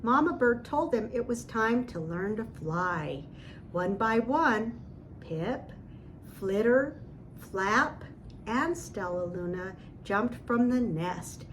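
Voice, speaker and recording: Unhurried woman reading a children's story. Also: fairly high pitch, animated, slight background noise. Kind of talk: read-aloud storybook narration.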